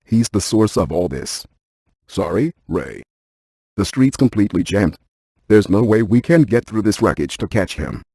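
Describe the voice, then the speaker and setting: A man playing a brainy, stiff ghost-hunting scientist in a video game, speaking in a precise, clinical deadpan. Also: on the low side, normal speed, vocal fry, breathy edge, dry recording, heavily acted. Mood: urgent, clipped.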